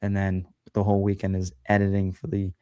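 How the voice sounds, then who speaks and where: Low-voiced man chatting loosely. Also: completely flat delivery, brisk, dark tone, dry recording, studio quality. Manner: rambling, filler-heavy, self-deprecating. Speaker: guest, podcast host. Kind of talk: casual chat on a livestream.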